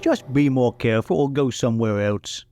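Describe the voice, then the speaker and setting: Surly bar-room marine for a tabletop game, male player acting, gruff and challenging, lively pitch, music underneath. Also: moderate pace, no room sound, clean studio sound, close to an everyday voice. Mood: sullen.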